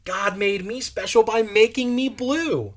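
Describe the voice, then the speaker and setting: A man's voice: high voice, measured pace, voice pushed up. A man voicing a round lady blueberry with a genteel manner, in rhyming storybook lines. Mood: wistful, proud. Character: Madame Blueberry, round blue lady berry.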